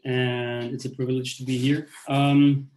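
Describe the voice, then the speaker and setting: A man in his forties who grew up in Austria, telling his own story on a panel in a halting way, with many restarts and fillers. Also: medium pitch, little pitch movement, a little mellow, slight background noise. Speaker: speaker on a panel. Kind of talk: personal story in a talk. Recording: roomy echo.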